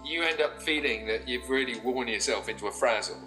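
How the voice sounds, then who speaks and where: A man's voice: mid-range voice, fairly quick, bright, forceful tone, low-quality recording. A man making candid, casual remarks in a recorded clip. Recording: music laid under clip.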